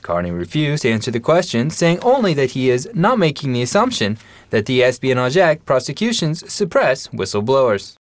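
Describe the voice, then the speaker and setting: A male newsreader delivering a radio news report in a steady, formal way. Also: medium pitch, moderate pace, no room sound.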